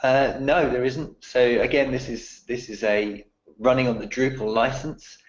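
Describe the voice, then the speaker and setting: Man, croaky voice, steady technical explainer, roomy echo. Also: medium pitch, moderate pace, lo-fi sound. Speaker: technical presenter answering questions. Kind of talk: webinar Q&A answers.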